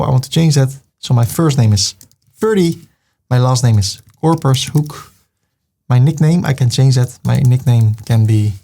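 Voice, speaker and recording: Male tutor, mid pitch, patient click-by-click screen-tutorial narration. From Netherlands. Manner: calm, step-by-step, narrates each click. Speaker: web design tutor. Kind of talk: screen-recorded software tutorial.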